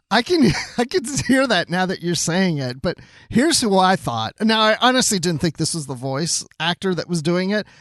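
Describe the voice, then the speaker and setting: A man's voice: sits high, sing-song, moderate pace, a bit bright, no room sound, clean studio sound. A man co-hosting a podcast in casual chat, with a playful, joking manner. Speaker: podcast co-host.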